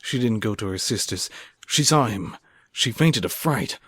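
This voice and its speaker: A man's voice: on the low side, animated, normal speed, fairly bright tone, slightly rough, no echo. A man playing a fervent, troubled young scientist in a melodramatic, theatrical radio drama style. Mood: horrified. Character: anguished, fervent young male scientist, recovering from madness. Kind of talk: melodramatic old-time radio acting.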